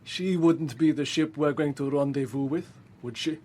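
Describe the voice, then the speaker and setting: A man playing a stubborn sea captain in a radio thriller. His voice is fairly high for a man and distinctly rough and husky, with a blunt, formal, indignant way of talking. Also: moderate pace, darkish tone, lightly acted. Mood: wary. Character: proud, suspicious male ship's captain, stubborn and indignant. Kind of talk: radio drama acting.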